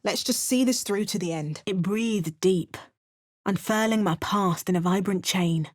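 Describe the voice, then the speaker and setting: Woman playing a sardonic game adventurer, dry asides, mid-pitched voice. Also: unhurried, lightly acted. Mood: wry. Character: adult woman adventurer, wry and battle-hardened. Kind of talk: video game character barks and narration.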